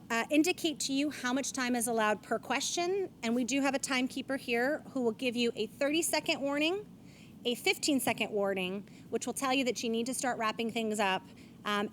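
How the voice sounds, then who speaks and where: A woman's voice: fairly high pitch, a bit bright, a little hiss or hum. A woman, moderating a candidates forum on stage and reading rules and statements aloud. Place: event stage.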